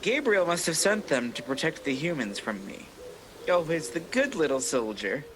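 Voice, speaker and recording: A man's voice: a little high, sing-song, background noise. A man playing a bitter, vengeful fallen angel who schemes as king of demons, theatrical and menacing in a radio play. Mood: scheming contempt. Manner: theatrical, menacing, drawn-out emphasis. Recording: echoey, reverberant hall effect.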